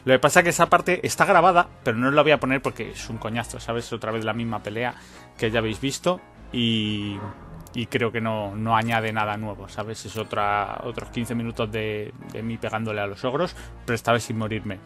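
A man's voice: on the low side, fairly even intonation, a little fast, fairly bright tone, faint music behind. A man giving informal let's-play commentary, thinking aloud as he plays and swearing now and then. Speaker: gaming channel host.

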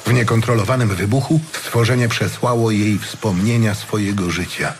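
A man's voice: on the low side, normal speed, noisy background. A man reading a fantasy audiobook as a dramatic storyteller. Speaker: audiobook narrator. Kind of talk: dramatic scripted narration of a fantasy tale. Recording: music behind the voice.

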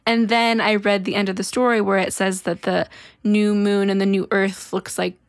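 A woman's voice: mid-range voice, level tone, moderate pace, dry recording, clean studio sound. A woman co-hosting a podcast in casual chat, giggly and chatty, full of "like". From Pacific Northwest. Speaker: podcast co-host.

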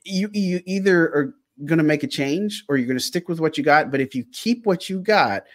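A man's voice: a little high, expressive, moderate pace, no room sound, clean studio sound. A man co-hosting a sports podcast, talking in a frustrated, rambling way with many fillers. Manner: frustrated, rambling, heavy on fillers like "I mean" and "look". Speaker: podcast co-host. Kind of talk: sports analysis chat.

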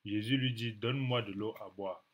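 A man in his thirties, sick with a cold and clearing his throat, teaching from the Bible to a webcam in a small room at home, repeating phrases slowly for emphasis. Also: lowish voice, fairly even intonation, muffled recording. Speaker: preacher.

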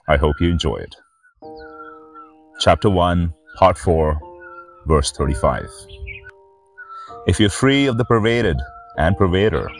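A middle-aged man reading and commenting on scripture as a spiritual teacher, in a measured, reverent manner. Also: on the low side. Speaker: spiritual teacher and channel host. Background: background music.

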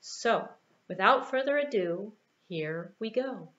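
A woman's voice: medium pitch, lo-fi sound. A middle-aged American woman narrating a puppet show for children, with playful asides. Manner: unhurried, animated, playful asides. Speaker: library puppeteer and storyteller. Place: small indoor room.

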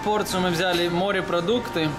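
A man with a fairly high voice narrates a family vlog as a dad. He talks casually, naming things in simple running commentary with some English words mixed in. It is filmed on a handheld camera in a restaurant, with loud music over it. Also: steady tone, normal speed. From Russian-speaking background. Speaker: father and family vlogger. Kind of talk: casual narrated walkthrough of food for a child. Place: busy buffet restaurant, indoors. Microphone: handheld camera held by the speaker.

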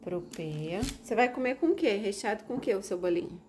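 A woman's voice: mid-range voice, animated, fairly quick, darkish tone, slight background noise. A mother in her thirties with a Brazilian Portuguese accent, patiently coaching her kids step by step through a recipe in a home kitchen. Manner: patient, coaxing, explains step by step, teasing. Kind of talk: casual family cooking video.

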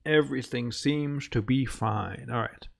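A man's voice: medium pitch, measured pace, vocal fry. A man giving casual let's play commentary as a gaming host, muttering and thinking aloud.

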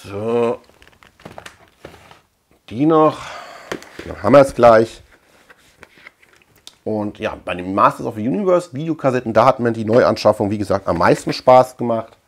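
Rambling, colloquial man in his thirties. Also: lowish voice. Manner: rambling, colloquial, animated emphasis with repetition. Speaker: video host, collector. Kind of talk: casual chatty vlog. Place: small room at home lined with shelves.

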